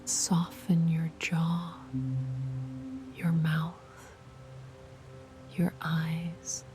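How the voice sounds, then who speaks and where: A woman whispering soft, soothing guided meditation instructions. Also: low voice, monotone, extremely slow. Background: music.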